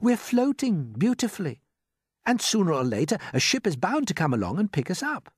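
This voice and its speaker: An eager, earnest young boy from a storybook who stays calm when the others panic. His voice is raised and bright, as a reader puts him on, recorded close and dry. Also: medium pitch, normal speed, no echo, voice pushed up.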